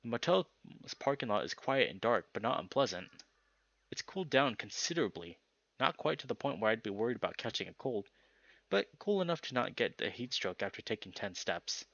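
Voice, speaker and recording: A man reading a visual novel's story text aloud in expressive narration, occasionally stumbling over words. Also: mid-range voice, fairly quick, gravelly, no echo, dull audio. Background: music.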